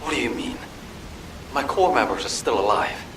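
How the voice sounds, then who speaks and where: An actor playing an earnest, caring and dutiful military medical corps commander in a video game. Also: male voice, medium pitch, animated, moderate pace, background noise, lightly acted. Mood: doubtful, challenging. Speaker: field officer. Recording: roomy, distant, music underneath.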